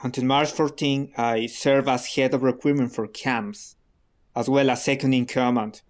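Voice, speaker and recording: Man playing a measured, cooperative man under questioning. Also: medium pitch, fairly even intonation, natural voice. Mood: measured, compliant. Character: cooperative male Salvadoran security recruiter under questioning. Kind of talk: interrogation answers in a radio play.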